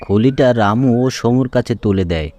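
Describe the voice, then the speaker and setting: A man's voice: mid-range voice, normal speed, dark tone. A man reading scripted narration for a children's cartoon story.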